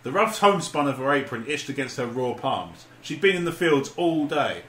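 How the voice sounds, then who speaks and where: A man's voice: mid-range voice, big pitch swings, normal speed, fairly bright tone. A man co-hosting a comedy podcast, chatting and reading aloud in an amused, mock-serious, sweary way. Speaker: podcast co-host. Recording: roomy echo with background noise.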